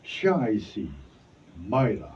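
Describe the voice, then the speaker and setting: A man's voice: fairly low pitch, very animated, takes it slowly, darkish tone, soft background music. A man acting as narrator of a Filipino radio drama, reading a scripted introduction. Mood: solemn. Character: male narrator introducing a woman's story. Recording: dull, distant room recording, faint noise.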